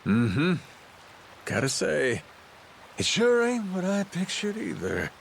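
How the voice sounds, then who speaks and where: An actor playing a brash, carefree middle-aged pirate captain in a video game, with folksy, slangy talk. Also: male voice, a little high, huge pitch swings, slow pace, vocal fry, a little hiss or hum, exaggerated voice. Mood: casual, wary. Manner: folksy drawl with slangy grammar. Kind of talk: video game cutscene acting. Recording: background music behind dialogue.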